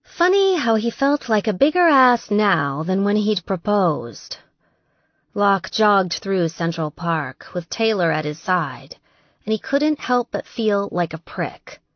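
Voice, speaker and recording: A woman's voice: mid-range voice, animated, slow, no room sound, dull audio. A woman reading a scripted fiction story as an audiobook narrator.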